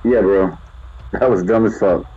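A man's voice: fairly low pitch, unhurried, slightly rough. A man playing a cautious, sardonic buddy in an audio drama, talking sweary slang over a hand-held radio. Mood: supportive, blunt. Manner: slangy, sweary, calls listener bro. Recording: dull radio sound, faint noise.

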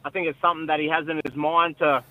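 A young man from Brisbane with an Australian accent, a rugby league player chatting casually as a guest on a radio show, relaxed and modest with lots of fillers. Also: on the high side, quick pace, narrow, tinny audio.

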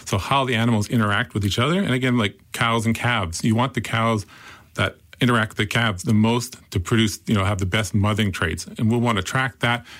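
A man with a Canadian accent, a founder explaining his product in an interview with quick enthusiasm. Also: fairly low pitch, steady tone, a bit bright. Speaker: startup founder. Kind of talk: interview answers.

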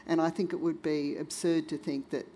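A woman's voice: sits low, flat delivery, moderate pace, dark tone, low-quality recording. A woman speaking on a discussion panel, frank and conversational, checking in with her listeners.

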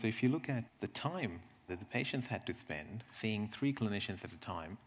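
A man giving a slide presentation, explaining things in a halting way with repeated words and asides. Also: fairly low pitch, vocal fry. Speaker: presenter from a health service.

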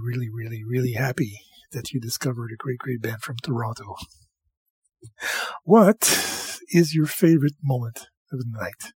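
Man, mid pitch, husky and dry, rambling music-show host chat. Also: no room sound. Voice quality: slightly husky, tired night voice. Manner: chatty, rambling, enthusiastic about music.

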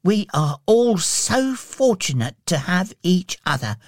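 A man voicing a frail, gentle, earnest little boy with a fragile voice in a storybook reading. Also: sits high, big pitch swings, slow, no echo, clean studio sound, pitched above the performer's own voice, heavily acted. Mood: earnest, hopeful.

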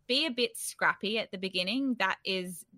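Bright-voiced woman, close and dry. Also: mid-range voice, moderate pace, dry recording. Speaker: startup founder, interview guest. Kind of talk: casual conversational interview.

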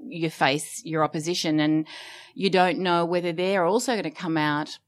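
A woman, a foundation director, talking on a radio panel in an animated, rambling way with colourful phrases. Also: fairly low pitch, normal speed. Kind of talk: casual radio panel chat.

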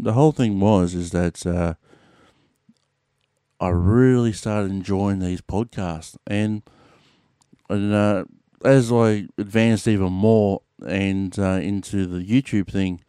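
A man's voice: lowish voice, dark tone, smooth, dry recording, clean studio sound. A man with an Australian accent hosting his podcast in a casual monologue, rambling with lots of fillers and the odd swear word. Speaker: podcast host. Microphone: podcast mic.

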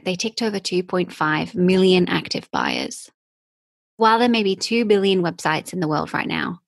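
Husky low woman narrating a podcast. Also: no room sound. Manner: measured, clear delivery of written lines. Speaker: podcast host. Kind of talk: scripted narration.

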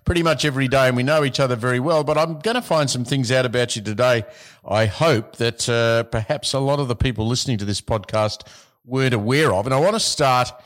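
A man, hosting an interview podcast in a measured, friendly way. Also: medium pitch. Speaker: podcast host.

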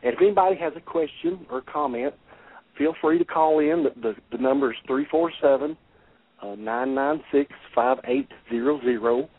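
A middle-aged man with a Kentucky accent hosting a radio show in a folksy, halting way. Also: mid-range voice, animated, breathy edge, no room sound. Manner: folksy, halting, laughs often. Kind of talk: casual radio interview. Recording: tinny phone-line radio audio.

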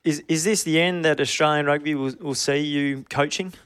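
A man's voice: on the high side, steady tone, normal speed, fairly bright tone, clean tone, no room sound, studio quality. A man hosting a rugby talk show, asking the guest questions. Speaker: host. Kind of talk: interview questions.

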